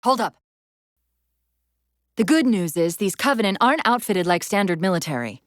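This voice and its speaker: A woman acts out a female AI companion in a military sci-fi game. She is quick-witted, earnest and strained, briefing a soldier crisply. Her voice swings widely in pitch, and the sound is very dry. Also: lively intonation, no room sound, close to an everyday voice. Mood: focused briefing. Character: female military AI companion, urgent, clever, wry, increasingly strained. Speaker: video game voice actor. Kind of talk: video game voice acting.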